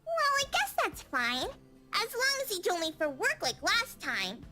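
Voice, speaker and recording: Man voicing an excitable, squeaky little girl. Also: measured pace, heavily acted. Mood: pouty. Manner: childish, excitable. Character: lively six-year-old girl, sweet-toothed and cheeky. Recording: music behind the voice.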